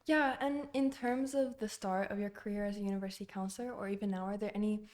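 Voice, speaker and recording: A woman's voice: mid-range voice, steady tone, fast, a little mellow. A young woman in her late teens co-hosting a student podcast and putting interview questions to a guest.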